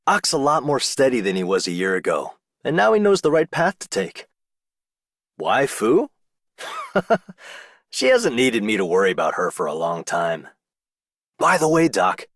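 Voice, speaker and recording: Game casting: caring big-brother type, mid voice, lively swooping pitch, genial. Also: male voice, no echo, clean studio sound. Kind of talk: video game character voice lines.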